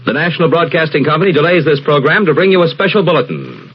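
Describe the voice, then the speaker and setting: A man reading a formal, crisp news bulletin in 1940s radio style. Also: a little high, sing-song, quick pace, noisy background. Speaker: news announcer. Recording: muffled old radio recording.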